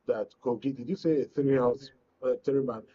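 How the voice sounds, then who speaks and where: Husky Nigerian man hosting, off-mic. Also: medium pitch, moderate pace. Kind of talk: conversational political interview. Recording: muffled, distant mic, lo-fi sound.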